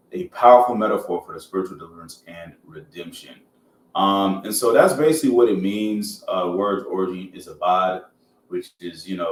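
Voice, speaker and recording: Deep-voiced American man in an echoey room. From Georgia, United States. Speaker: podcast host. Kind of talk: casual podcast talk with some reading aloud from a reference. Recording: roomy echo, distant mic, faint noise.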